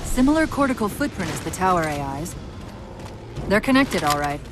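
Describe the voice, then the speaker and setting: A woman playing a witty, quick-minded artificial intelligence companion in a video game, briefing in technical terms. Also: lowish voice, very animated. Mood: analytical. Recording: game music and effects behind.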